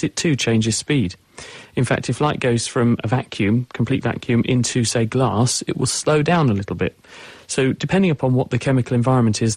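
A man's voice: mid-range voice, darkish tone, dry recording. Man explaining science on radio. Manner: patient, explanatory. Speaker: science guest expert.

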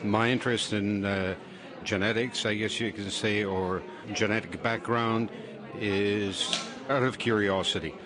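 An older man tells his story in a measured, hesitant way. His voice sits on the low side, and a crowd chatters clearly behind him. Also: steady tone. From Croatia. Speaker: survey participant. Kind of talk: personal account in an interview. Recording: people chattering in the background.

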